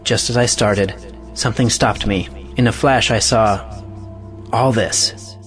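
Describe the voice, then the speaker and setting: A man's voice: fairly low pitch, measured pace, soft background music, close to an everyday voice. Actor as an impassioned, righteous male lover. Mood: fervent. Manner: declamatory, resolute. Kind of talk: audio play acting of an old stage drama.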